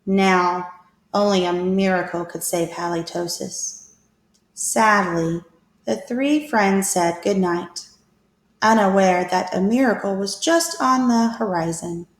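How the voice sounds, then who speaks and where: A woman reading a picture book aloud to children as a teacher, with expressive, deliberate storytelling. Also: mid-range voice. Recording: echoey room.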